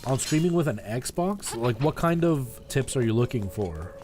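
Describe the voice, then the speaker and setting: A man hosts a live game stream in an informal, conversational way. His voice sits at an average male pitch, and he rambles through tips and asides for his viewers. Music plays behind him. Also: sing-song, normal speed, darkish tone. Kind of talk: casual live stream chat while playing a retro game, giving tips to chat.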